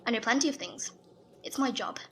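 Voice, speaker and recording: A woman's voice: on the high side, a little fast, a bit bright, slight background noise. A woman playing a cocky, sardonic agent who knows more than she says, in an audio drama. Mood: sardonic, knowing. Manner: sardonic, breezy.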